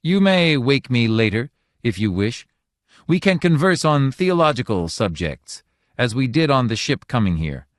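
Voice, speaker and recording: A man's voice: mid-range voice, very animated, unhurried, no room sound, pitched above the performer's own voice. A man voicing a small one-eyed alien god who speaks in a sour, lofty, noncommittal way, read dry and close.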